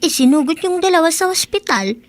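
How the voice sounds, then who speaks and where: A woman acting a gossipy neighbour in a Filipino radio drama, speaking in an animated, newsy way. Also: very high-pitched, fairly quick. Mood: gossipy alarm. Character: gossipy neighbourhood woman relaying bad news.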